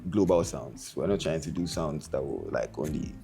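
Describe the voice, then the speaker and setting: Young man, very deep voice, mellow tone, laid-back, hesitant, faint music behind. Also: lively intonation, normal speed, vocal fry. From Ghana. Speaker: musician guest. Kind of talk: casual interview chat.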